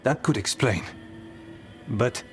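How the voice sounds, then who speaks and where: An actor playing a gentle, thoughtful warden scholar in a fantasy video game, speaking in a hesitant, mild way. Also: male voice, mid-range voice, animated, slow, soft background music. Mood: troubled, pensive.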